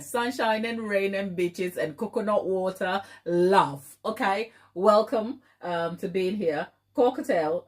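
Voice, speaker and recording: A woman with a Ghanaian accent hosting a casual live chat, affectionate and playful, often repeating phrases for emphasis. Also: on the high side. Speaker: baking livestream host.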